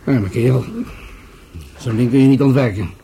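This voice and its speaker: Casting: male actor for a thoughtful older commissioner, soft-edged voice, measured and polite, radio drama with slight background noise. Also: mid-range voice, vocal fry, natural voice. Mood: grave, knowing. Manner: measured, musing, hesitant phrasing. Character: musing, courteous older male police commissioner with rheumatism. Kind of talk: radio play acting. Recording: noisy radio play recording with clicks.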